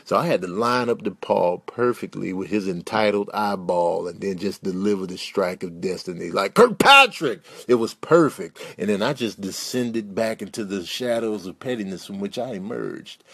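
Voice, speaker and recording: A man's voice: fairly low pitch, moderate pace, vocal fry, hardly any pauses, fairly bright tone, husky, no room sound, exaggerated voice. A man voicing a smug, petty cat in a theatrical, mock-grandiose way for a comedy animal dub.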